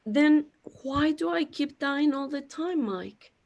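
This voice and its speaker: A woman playing a lonely, self-pitying immortal creator god in a scripted audio drama, speaking in a plaintive, deliberate way. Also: high-pitched, lively intonation, vocal fry, highs cut off, exaggerated voice.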